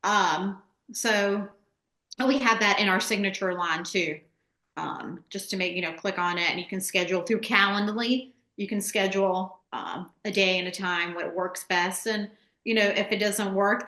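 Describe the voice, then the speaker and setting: A woman from Charlotte in the US, chatting casually as an interview guest and explaining things in an informal, halting way. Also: mid-range voice, steady tone, sharp tone. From born in Greece, grew up in Charlotte, USA. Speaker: podcast guest, real estate transaction coordinator. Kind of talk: casual chat. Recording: echoey room.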